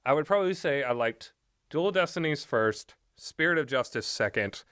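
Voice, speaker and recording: A man's voice: fairly high pitch, lively intonation, normal speed, fairly bright tone, smooth, dry recording, studio quality. A man giving casual, rambling and opinionated commentary on video games, often hesitating as he talks. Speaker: video game reviewer.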